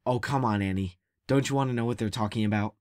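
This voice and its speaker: A man's voice: fairly low pitch, fast, no echo, close to an everyday voice. A man playing a cocky, taunting young recruit in a fan audio drama, with a brash delivery. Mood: nosy, impatient.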